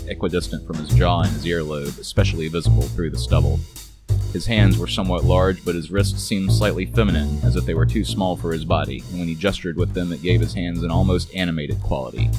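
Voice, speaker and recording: A man's voice: deep voice, brisk, loud music behind the voice. A man narrating a scripted noir detective story.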